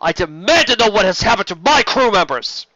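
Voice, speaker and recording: A man's voice: high-pitched, sing-song, fairly quick, no echo, highs cut off, pitched above the performer's own voice, heavily acted. A man voicing a defiant captured human military captain with a hard military delivery, in an audiobook reading. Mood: furious. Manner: clipped rote military delivery breaking into shouts.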